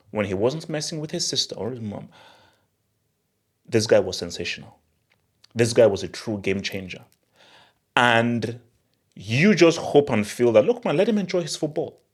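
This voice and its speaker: Guy hosting a football vlog, medium pitch, really emphatic and dramatic, repeats stuff to hammer it home. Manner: theatrical, emphatic, repeats phrases for effect. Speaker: host of a football channel. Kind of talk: animated solo football commentary to camera.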